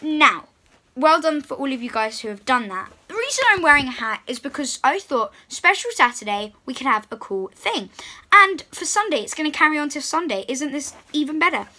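A young girl, presenting to little children in an excited, encouraging way and reading picture books aloud. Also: high-pitched, fairly quick. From England. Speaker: host of a children's read-aloud channel. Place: small room at home, indoors.

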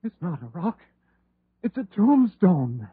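An actor playing a folksy, fearful old country innkeeper with halting speech in a 1930s radio horror play. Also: male voice, high-pitched, big pitch swings, background noise, full character voice. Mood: horrified. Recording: muffled, tinny 1930s radio recording.

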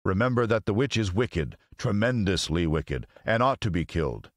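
A man's voice: fairly low pitch, expressive, moderate pace, dry recording, clean studio sound, natural voice. A man voicing a stern, commanding great wizard in the form of a giant head, speaking in imperious, emphatic pronouncements for a storybook. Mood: stern, dismissive. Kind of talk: storybook character voice.

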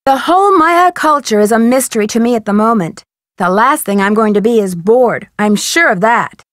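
A woman's voice: on the high side, lively intonation, normal speed, a bit bright, no echo, studio quality. A woman playing a keen, composed American girl detective in a video game, earnest and self-assured in phone dialogue. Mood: informative, interested. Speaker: voice actor.

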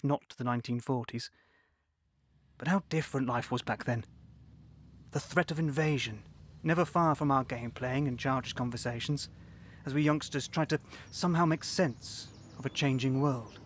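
A man's voice: mid-range voice, no room sound, clean studio sound, lightly acted. An actor in his sixties narrating as an older man recalling his wartime boyhood, reflective and wistful. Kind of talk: scripted narration. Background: music.

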